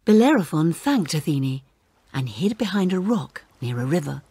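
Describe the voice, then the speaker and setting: A woman narrating a children's read-along storybook in an expressive, dramatic and deliberate way. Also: sits low, husky, dry recording.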